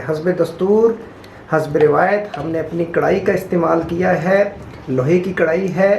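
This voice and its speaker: A man's voice: a little high, creaky, darkish tone. A man hosting a cooking show. He explains each step steadily and repeats his tips. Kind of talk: cooking demonstration. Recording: echoey with faint noise.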